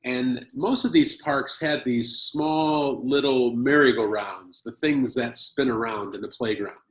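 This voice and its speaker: An American man from Chicago telling a personal story at a conference, speaking in short phrases and pausing for his interpreter. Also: medium pitch. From Chicago, United States. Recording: roomy echo.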